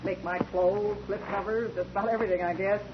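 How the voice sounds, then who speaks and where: A woman's voice: mid-range voice, steady tone, fairly quick, natural voice. An actress playing a kindly but firm middle-aged widowed landlady in a 1940s radio drama, with a homely, motherly manner. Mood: homely, friendly. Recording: noisy old 1940s radio recording.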